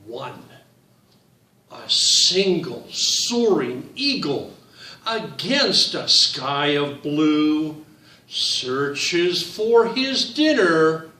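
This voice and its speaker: A man reading his picture book aloud to children, in a slow, sing-song storytime voice that speaks to them directly. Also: fairly high pitch, big pitch swings, sharp tone, roomy sound, distant mic.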